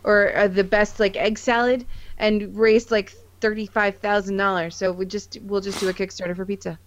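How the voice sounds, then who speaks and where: A woman's voice: mid-range voice, flat delivery, normal speed, a little hiss or hum. Woman co-host on slightly dull recording. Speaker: podcast co-host. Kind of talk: casual interview chat.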